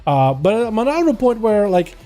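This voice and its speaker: A young man with a slight Russian accent, casting live game duels with fast, excitable play-by-play. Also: sits high. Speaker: streamer and game commentator. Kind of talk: live esports commentary.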